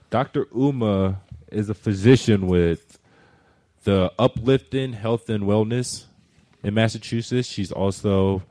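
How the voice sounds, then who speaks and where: A man hosting a radio talk show, introducing a guest in a relaxed, halting way. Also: fairly low pitch. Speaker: radio host.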